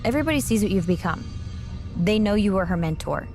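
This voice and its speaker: A woman playing a composed, fearless wrestler, speaking in a measured, serious way in a video game drama. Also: medium pitch, animated, darkish tone, natural voice. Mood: reproachful. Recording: music behind voice.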